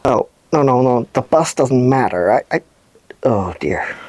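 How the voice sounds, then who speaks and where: A woman reading scripted stories and segments for children as a show presenter, with expressive, deliberate delivery. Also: a bass voice, husky, no room sound.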